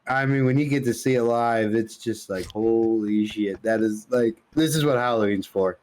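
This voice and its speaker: A man's voice: medium pitch, normal speed, a little mellow. A man co-hosting a podcast, telling comic stories in casual, slangy, sweary talk. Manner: casual, profane, dude-heavy slangy talk. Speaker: podcast co-host. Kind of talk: casual chat, comic storytelling.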